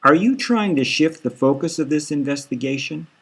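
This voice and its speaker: A man voicing a disdainful, brutish cop who presses a suspect in a dark satirical audio novel. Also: fairly high pitch, animated, normal speed, gravelly, husky, pitched above the performer's own voice, full character voice. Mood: suspicious. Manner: pressing, confrontational.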